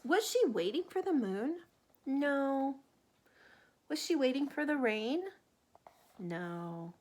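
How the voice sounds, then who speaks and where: A woman reading a picture book aloud to children like a teacher, gently pausing to ask questions and point things out. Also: high voice.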